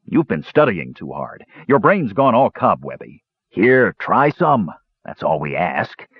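A man's voice: on the low side, big pitch swings, unhurried, slightly rough, no echo, muffled recording, heavily acted. A man voicing a cheerful, boastful young warrior in a fantasy audiobook, jaunty and coaxing.

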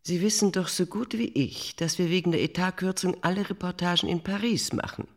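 A woman's voice: on the low side, brisk. An actress playing an imperious, cynical middle-aged female editor-in-chief in a German radio drama, bossy, dismissive and sarcastic. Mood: grudging.

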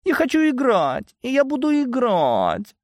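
A man's voice: extremely high pitch, huge pitch swings, measured pace, a little mellow, no echo, studio quality, pitched above the performer's own voice, heavily acted. A man voicing a childish, cruel femme fatale in an audiobook, cooing, coy and pouty. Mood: pouting. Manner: purring, coy, pouting.

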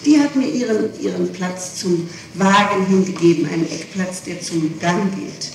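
A woman is being questioned on an old tape recording. She sounds far from the microphone in a very echoey room, with clear noise over her voice. She answers haltingly, correcting herself and insisting on details. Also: fairly low pitch. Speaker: person under police questioning.

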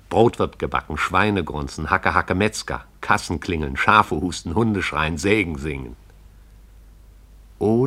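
Deep-voiced man narrating a radio play. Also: fairly bright tone. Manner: poetic, rhythmic recitation.

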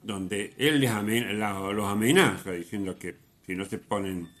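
A man hosting a radio programme, giving reflective music commentary in a halting way, often repeating himself as he searches for words. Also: on the low side, a bit bright. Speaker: radio host.

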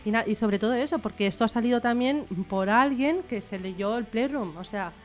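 A woman's voice: a little high, normal speed, faint music behind. A middle-aged bilingual Catalan and Spanish woman with a Barcelona accent, explaining her writing chattily as an interview guest. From Barcelona, Spain. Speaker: guest writer. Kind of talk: informal interview conversation.

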